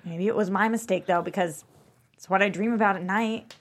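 An actress performs an anguished wife weighed down by guilt. She speaks in a raw, halting, true-to-life way, as in a dramatic table read of a film script. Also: medium pitch, steady tone, natural voice. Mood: regretful. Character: anguished, guilt-ridden wife caring for her sick friend.

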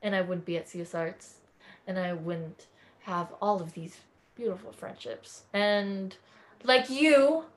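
A woman's voice: mid-range voice, measured pace. A woman from California, a podcast guest talking in an effusive, earnest way in a casual interview chat.

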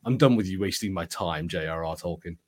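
A man from the UK with a fairly low voice chats as a podcast co-host. His delivery is conversational and opinionated, like an informal talk between friends. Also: brisk, a little mellow. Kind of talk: casual chat about fantasy film and TV.

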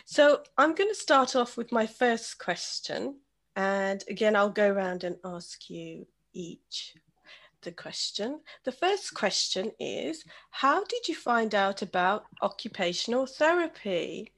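A woman's voice: medium pitch, lively intonation, takes it slowly, a bit bright. A British Bangladeshi woman hosting a podcast over a video call, reading an introduction and then handing the floor to each guest. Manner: reads an introduction, then chats and hands over by name. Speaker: podcast host.

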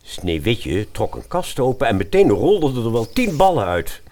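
A man's voice: mid-range voice, sing-song, normal speed, darkish tone. A man narrating a scripted fairy-tale radio play as the storyteller.

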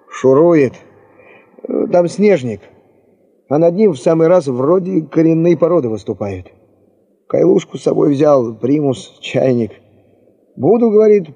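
An actor playing a folksy, easygoing field worker with colloquial speech in a Soviet radio play. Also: male voice, on the high side, lively intonation, warm tone, husky, lo-fi sound, full character voice. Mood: matter-of-fact, brisk. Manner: colloquial, unhurried homespun remarks.

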